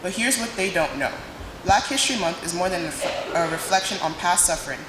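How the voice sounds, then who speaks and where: A woman's voice: lowish voice, lively intonation. Young woman, dramatic speech. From Nigeria. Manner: dramatic, emphatic delivery with pauses. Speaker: student speaker. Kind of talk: prepared speech to an audience. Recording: echoey hall, noisy room sound.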